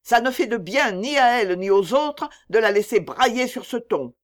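A woman's voice: a little high, expressive, normal speed, pitched above the performer's own voice, close to an everyday voice. A woman voicing a callous, bossy male slave trader in an audiobook, curt and commanding with a false joviality. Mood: curt, irritated.

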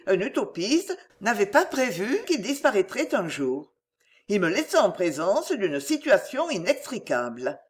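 A woman voicing a self-pitying, scheming middle-aged mother in an audiobook, plaintive, melodramatic and wheedling. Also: fairly high pitch. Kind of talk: acted audiobook dialogue.

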